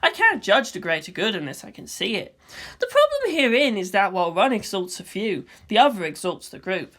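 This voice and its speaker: Philosophical boy , played by a woman, in a story reading: composed, solemn, certain, crisp bright voice. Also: medium pitch, sing-song, brisk. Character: calm, philosophical boy debating with unshaken conviction. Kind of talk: fan fiction audiobook character voice.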